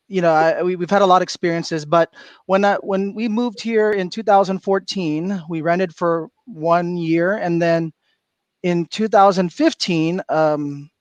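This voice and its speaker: A middle-aged man from Florida, a guest on a livestream, telling stories in an animated way and laughing often. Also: high-pitched, no echo. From Florida, USA. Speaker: guest speaker. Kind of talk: casual livestream chat. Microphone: video call.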